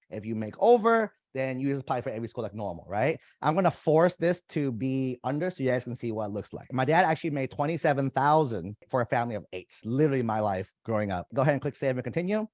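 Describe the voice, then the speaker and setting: A young man walks students through an online application form, chatting casually and speaking straight to his viewers. His voice sits at a middle pitch for a man. The recording is dry and close, with almost no room sound. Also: no room sound. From United States. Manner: casual, conversational, talks to viewers directly as "you guys". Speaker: college admissions coach. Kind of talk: screen-share walkthrough of an application form.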